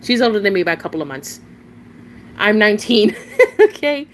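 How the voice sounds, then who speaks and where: A woman's voice: medium pitch, sing-song, moderate pace, slight background noise. A nineteen-year-old woman streaming live, rambling casually, chatting with viewers and often giggling and restarting her sentences.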